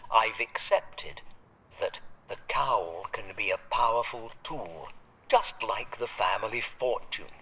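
Man playing slow, raspy old butler, radio-filtered. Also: mid-range voice, fairly bright tone, muffled recording. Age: older. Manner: formal, measured, deferential yet tender. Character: devoted old butler, fatherly, sentimental, formally polite. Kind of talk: audio drama acting.

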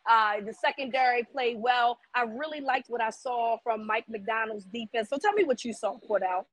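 Woman co-host, hoarse and raspy, lively casual sports chat, dry close mic. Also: on the high side, moderate pace, dry recording. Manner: animated, repeats words while thinking aloud.

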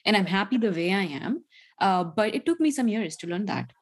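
A woman with a husky, breathy voice speaks as a panel guest. She hesitates and restarts her sentences often as she talks. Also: mid-range voice, expressive, brisk. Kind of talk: panel discussion.

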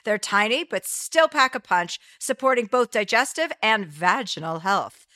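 A woman's voice: mid-range voice, expressive, measured pace, pushed and bright, no room sound, studio quality. Woman reading an upbeat ad. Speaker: ad read by host. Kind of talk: read advertisement.

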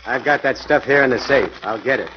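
A loyal police inspector, played by an actor, plainspoken and reassuring. His voice sits at a middle pitch. It comes through a dull, noisy vintage radio transcription. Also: brisk, lightly acted. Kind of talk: 1940s radio acting.

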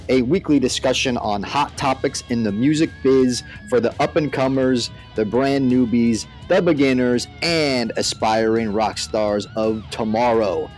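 A man with a medium-pitched voice hosts a podcast in a casual, slangy way. Guitar music plays behind him as he talks. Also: darkish tone. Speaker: podcast host.

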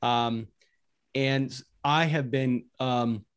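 A man's voice: mid-range voice, fairly even intonation, drawn-out words, clean tone. A man hosting a faith-based interview, asking thoughtful, searching questions with frequent restarts. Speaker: podcast host.

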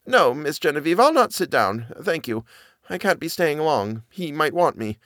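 A man's voice: on the high side, sing-song, moderate pace, fairly bright tone, no room sound, studio quality, voice pushed up. A man voicing a frightened middle-aged housekeeper who stammers in plain servant speech. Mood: fearful.

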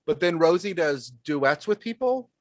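A man's voice: fairly high pitch, lively intonation, unhurried, no room sound, clean studio sound. A man co-hosting a podcast, chatting casually with a group. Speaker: podcast co-host.

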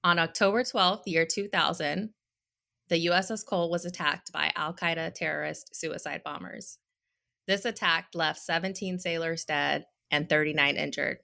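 A woman's voice: low voice, vocal fry, bright, forceful tone. A woman podcast host reading an earnest scripted introduction and welcoming her guest.

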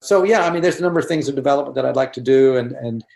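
A man, a guest director on a video call, talking casually, halting and repeating words with many fillers. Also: mid-range voice, gravelly, a little mellow, roomy sound.

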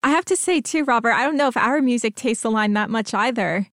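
A woman with a slightly high voice chats casually as part of a podcast team. She is easygoing and giggly, recorded with almost no room sound. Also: fairly quick, a bit bright, no room sound, studio quality. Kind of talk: casual chat.

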